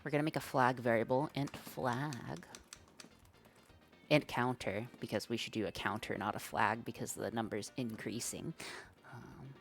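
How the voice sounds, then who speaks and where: Woman, very deep voice, live-stream coding chatter, thinks aloud, slangy, faint background music. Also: fairly even intonation, a little slow, gravelly.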